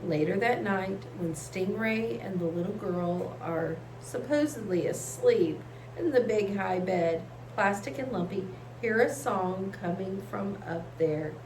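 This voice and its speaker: A woman reading aloud to children like a teacher, expressive and encouraging. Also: medium pitch, a little slow. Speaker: story reader, teacher. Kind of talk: read-aloud storytelling for children. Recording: echoey room, faint background hiss.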